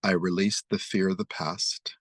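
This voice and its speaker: A man leading a guided meditation and prayer, speaking slowly and soothingly as he leads spoken affirmations. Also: sits low, fairly even intonation, no echo, clean studio sound. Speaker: spiritual meditation leader.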